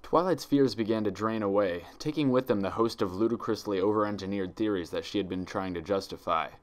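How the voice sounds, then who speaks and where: A man narrating a written story in a deliberate, dramatic storytelling manner. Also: fairly low pitch. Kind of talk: scripted narration, dramatic fan fiction reading.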